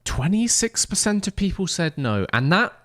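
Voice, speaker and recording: A male streamer narrating a racing game live, playful and quick to joke with his viewers. His pitch swings widely as he talks. The sound is close and dry. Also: very animated, a bit bright, no room sound. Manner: playful, jokey, talks to chat. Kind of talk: live gameplay commentary.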